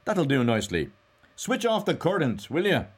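A man acting a loud, theatrical young jester, high-pitched. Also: animated, unhurried. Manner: theatrical, mock-solemn, booming declamation. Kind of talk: acted dialogue in an audiobook novel.

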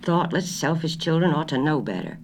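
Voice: mid-range voice, sing-song, moderate pace, slight background noise, voice pushed up. A reader voicing an irritable older husband who grumbles about his thoughtless children. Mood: cross.

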